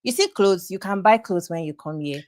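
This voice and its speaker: Young nigerian woman chatting on a podcast, kinda low voice, blunt and honest. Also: lively intonation, moderate pace, darkish tone. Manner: candid, talks with emphatic repeats. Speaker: international student guest. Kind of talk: casual podcast interview.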